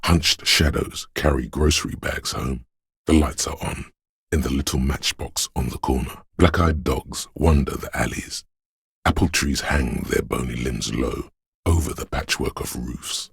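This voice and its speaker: An extremely deep, gravelly brooding city spirit. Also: male voice, no echo, clean studio sound, full character voice. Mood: somber, atmospheric. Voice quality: gravelly, husky bass. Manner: solemn, hushed, deliberate poetic delivery. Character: brooding poetic disembodied voice of a windswept city. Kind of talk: dramatic scripted game narration.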